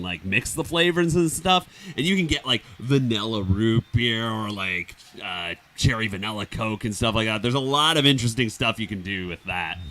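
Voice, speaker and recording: A man's voice: medium pitch, pushed and bright. A man with an upstate New York accent, co-hosting a live stream and giving casual play-by-play commentary on a football game. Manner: easygoing, chatty, with filler words.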